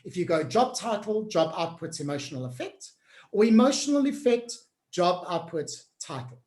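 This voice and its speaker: A woman's voice: on the low side, animated, moderate pace, darkish tone, reverberant. A woman hosting an online workshop on change management. She coaches the group directly in an upbeat, encouraging way and keeps checking in with them.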